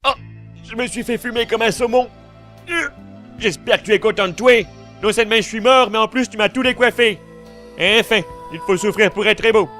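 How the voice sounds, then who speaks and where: Preening melodramatic anime villain, super high voice, music behind him. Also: male voice, brisk, sharp tone. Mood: petulant, mocking. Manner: melodramatic, mock-grandiose, preening. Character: vain, theatrical effeminate male warrior villain with roses. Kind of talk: anime parody dub acting.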